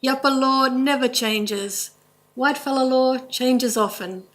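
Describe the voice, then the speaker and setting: Woman with an Australian accent, measured. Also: sits high, fairly bright tone. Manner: measured, slow, in short listed phrases. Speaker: language presenter at a court inquest. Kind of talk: formal court presentation. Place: courtroom.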